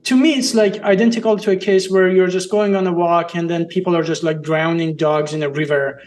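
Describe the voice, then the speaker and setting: A man whose first language is Farsi, hosting an interview and speaking in an earnest, measured way. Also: sits high, fairly even intonation. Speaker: host. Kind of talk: interview conversation.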